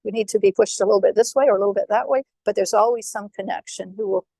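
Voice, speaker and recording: A woman giving an earnest personal spiritual testimony, speaking unhurriedly with pauses and fillers. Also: mid-range voice, creaky, warm tone, no room sound. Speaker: devotee speaker at a memorial gathering.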